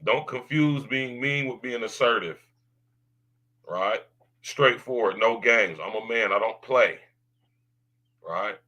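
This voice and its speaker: Male podcast host, deep baritone with a bright edge, loud drawling slang, keeps asking "right?". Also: takes it slowly, pauses often, highs cut off. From United States. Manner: loud, drawling slang, repeats tag questions. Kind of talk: casual podcast monologue.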